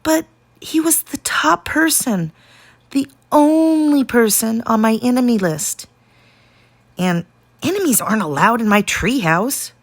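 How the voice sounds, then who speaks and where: A woman reading a children's story aloud in an animated way, drawing out key words for dramatic emphasis. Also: a little high, unhurried. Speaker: teacher reading a picture book aloud. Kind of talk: expressive children's storybook narration.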